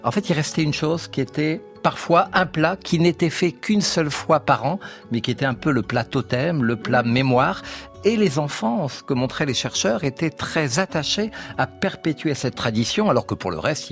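A man's voice: mid-range voice, lively intonation. Man explaining over faint music. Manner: explanatory, fluent and measured. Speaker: expert guest. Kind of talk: radio interview.

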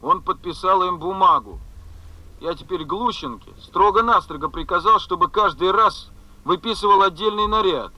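Exasperated official, high sharp voice. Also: male voice, animated, moderate pace, full character voice, low-quality recording. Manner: indignant, sighing, sarcastic grumbling. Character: exasperated, put-upon male housing official, grumbling subordinate. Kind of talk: Soviet radio play acting.

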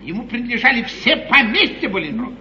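An actor playing an eloquent, ironic English lord in a classical 1950s stage comedy, declaiming grandly. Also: male voice, extremely high pitch, big pitch swings, a little fast, pushed and bright, full character voice. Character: eloquent, theatrical English lord, an opposition politician. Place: theatre stage. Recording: dull 1950s live theatre recording, echoey hall, hiss.

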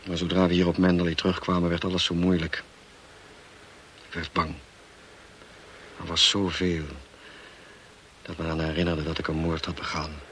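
A man acting a tormented, bitter husband in a Dutch radio play, speaking gravely with dramatic pauses. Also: low-pitched, flat delivery, fairly bright tone. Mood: relieved, confiding. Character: tormented, bitter husband confessing to his young wife. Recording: noisy old radio play recording.

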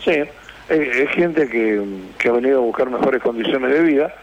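Gravelly Argentine man on the radio. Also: medium pitch. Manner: halting, searching for words. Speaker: radio host. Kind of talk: casual radio conversation. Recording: dull radio sound with hiss.

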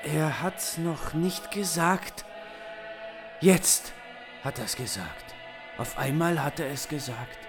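An actor in a radio play playing a solemn watcher who reports in halting short observations what he sees. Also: a little high, music in the background. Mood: grave. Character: solemn, hushed watcher narrating what he sees through a window. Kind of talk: slow symbolist radio play acting.

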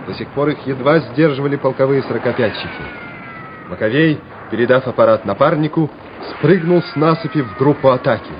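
A man's voice: medium pitch, animated, brisk, background noise. A man narrating a radio play in a dramatic, scripted storytelling style. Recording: dull old radio drama recording. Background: music.

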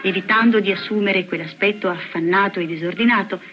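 A woman's voice: medium pitch, a little fast, muffled recording, faint music behind. A woman reading formal scripted narration in a mid-century newsreel style, on an old film soundtrack.

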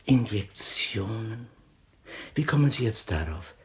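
An actor playing a shrewd, suspicious police inspector in a 1960s German radio crime drama, speaking deliberately with sardonic, insinuating questions. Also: male voice, on the low side, expressive, slightly rough, exaggerated voice. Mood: sardonic, accusing.

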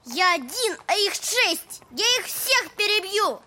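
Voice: very high-pitched, big pitch swings, a little slow, sharp tone, heavily acted. A performer playing a small, spiteful nine-year-old schoolboy in an audio drama, shrilly defiant and taunting.

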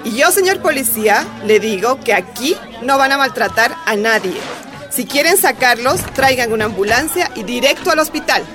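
A woman's voice: on the high side, expressive, moderate pace, over music, a crowd in the background, lightly acted. A woman playing a firm, protective defender who insistently stands up to police in a radio drama. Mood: defiant.

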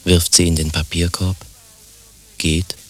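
An actor as a dry, husky narrator reading out stage directions in a radio play, deadpan. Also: male voice, sits low, takes it slowly, background noise.

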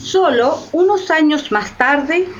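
A woman's voice: high-pitched, lively intonation, takes it slowly. A woman narrating history as the storyteller of a scripted radio drama. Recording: dull, slightly noisy sound.

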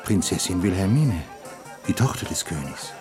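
A smooth, courtly minister. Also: male voice, low voice, lively intonation, moderate pace. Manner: formal, courtly, soothing. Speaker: actor in radio play. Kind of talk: 1970s radio drama acting. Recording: radio play with heavy music behind.

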